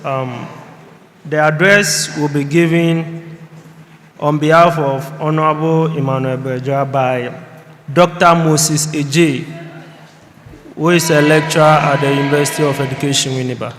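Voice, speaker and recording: Young guy chairing the session, talks real slow, kinda high voice, hall echo. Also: steady tone, a bit bright, slight background noise. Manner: slow, halting formal announcing with fillers. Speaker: speaker of a youth parliament. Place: large hall at a youth parliament. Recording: reverberant hall.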